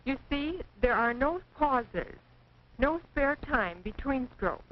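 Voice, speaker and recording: An American woman reading scripted 1940s training film narration in a precise, measured, instructive manner. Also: sits high, animated. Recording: dull, noisy 1940s film soundtrack.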